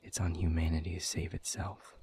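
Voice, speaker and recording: Hopeless demon exile for audio drama, male actor: deep, very husky, grave and resigned with a sardonic edge. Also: little pitch movement, fairly quick, a little mellow, heavily acted. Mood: bitter resignation. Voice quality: husky, breathy, low. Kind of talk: intimate audio roleplay acting.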